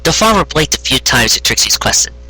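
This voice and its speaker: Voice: medium pitch, sing-song, brisk, pushed and bright, husky, dry recording, rough recording. An amateur narrator reading a story expressively, with occasional stumbles and self-corrections.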